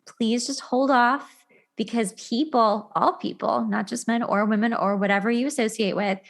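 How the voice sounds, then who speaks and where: A woman's voice: on the high side, fairly even intonation, moderate pace, creaky. A woman hosting a casual relationship advice talk, emphatic and repetitive, acting out imagined reactions as she tells anecdotes. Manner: emphatic, repeats words, acts out imagined reactions. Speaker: podcast host.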